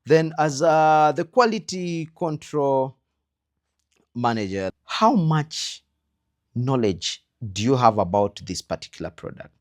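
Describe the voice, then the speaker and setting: A man with a Ugandan accent giving an instructional talk as a trainer, earnestly advising with rhetorical questions and emphatic pauses. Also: medium pitch. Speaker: trainer in creative production quality control.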